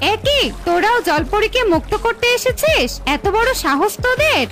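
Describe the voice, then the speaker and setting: A woman's voice: extremely high pitch, very animated, normal speed, exaggerated voice. A woman voicing a menacing, greedy witch in a children's cartoon, gloating and threatening. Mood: angry. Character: wicked female river witch, menacing and greedy. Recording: music playing behind the voice.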